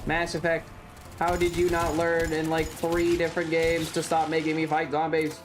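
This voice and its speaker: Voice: mid-range voice, monotone, normal speed, background noise. A streamer from the southern United States giving casual video game commentary in a playful, mock-serious, rambling way. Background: game music.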